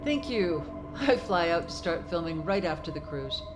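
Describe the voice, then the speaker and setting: A woman's voice: on the low side, sing-song, moderate pace, music in the background. A woman playing a gracious, friendly famous actress in audio drama dialogue, polite and cordial.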